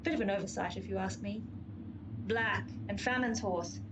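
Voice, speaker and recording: A woman's voice: medium pitch, normal speed, noisy background, lightly acted. A woman playing a pompous, long-suffering horse of Pestilence in a comic radio play, lecturing and exasperated. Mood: brisk, pompous. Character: haughty, long-suffering female horse of Pestilence, bossy explainer.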